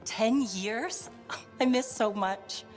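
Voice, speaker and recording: A woman voicing a composed, wondering noblewoman freed from captivity, in measured video game cutscene dialogue. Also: fairly high pitch, faint music behind. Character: composed noblewoman, dazed after long captivity.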